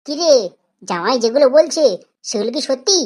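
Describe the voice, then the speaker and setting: A woman's voice: sits high, lively intonation, a little fast, a little mellow, a little hiss or hum. A woman playing a nosy, bawdy middle-aged village mother-in-law in a comedy cartoon, scolding and suggestive. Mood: shocked, scolding. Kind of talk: Bengali comedy cartoon dialogue. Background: background music.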